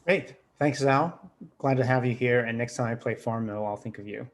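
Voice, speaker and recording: Male host of an online investor panel; mid-range voice; upbeat, brisk. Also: mid-range voice.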